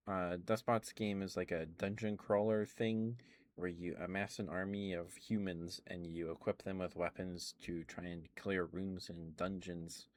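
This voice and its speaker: A man softly rambling about video games in a relaxed ASMR stream, with many ums and uhs. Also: sits low. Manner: relaxed, quiet and unhurried.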